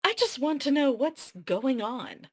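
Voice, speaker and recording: A woman's voice: high-pitched, animated, unhurried. A woman acting out lines at an amateur dramatic table read.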